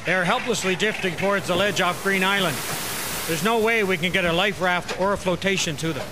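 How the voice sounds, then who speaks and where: A man's voice: high-pitched, natural voice. A man playing a determined, daring freighter captain with a commanding manner in an amateur historical audio drama. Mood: grim resolve. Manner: resolute, commanding. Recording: loud rushing sea and wind noise.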